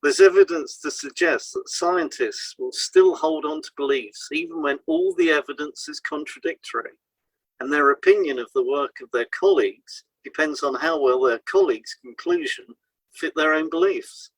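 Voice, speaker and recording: Husky man explaining, dry sound. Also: a little high, normal speed, no echo, rough recording. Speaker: podcast co-host, hypnotherapist. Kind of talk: scripted podcast discussion, read aloud.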